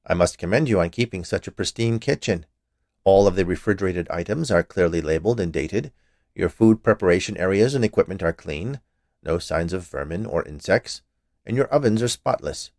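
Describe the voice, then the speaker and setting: A man's voice: sits low, lively intonation, fairly quick, darkish tone, no echo. A man playing a small, fussy health inspector in a story reading, with a formal, dry, precise manner. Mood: formal, puzzled. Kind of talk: dramatized short story reading.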